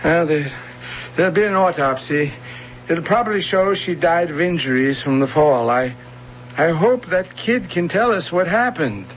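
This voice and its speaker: Earnest, halting American police officer for radio drama, male actor: high-set voice, dull vintage broadcast sound. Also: expressive, natural voice. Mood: concerned. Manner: halting, concerned. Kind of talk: old-time radio drama acting. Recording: dull noisy old radio broadcast, hum.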